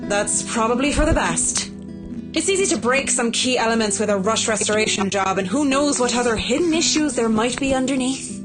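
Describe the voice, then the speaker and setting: A woman's voice: fairly high pitch, animated, brisk, music in the background, close to an everyday voice, poor audio. An actress playing a poised, guarded yet passionate professional woman in a visual novel, speaking politely and formally in articulate sentences. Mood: enthusiastic.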